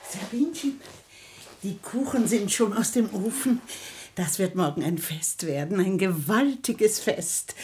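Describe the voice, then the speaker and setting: A woman's voice: fairly low pitch, darkish tone, husky, heavily acted. An actress playing a fussy, bossy, doting old grandmother in a theatrical radio comedy, exclaiming and scolding. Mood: bustling, curious. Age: older. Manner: scolding, exclamatory, chatty, old-fashioned stage German.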